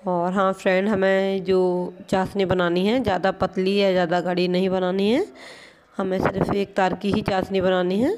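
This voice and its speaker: A woman's voice: medium pitch, little pitch movement, moderate pace, a little mellow. Woman patiently explaining a home recipe. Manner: patient, explanatory, keeps pointing things out. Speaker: home cooking channel host. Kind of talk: step-by-step cooking instruction. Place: home kitchen at a gas stove.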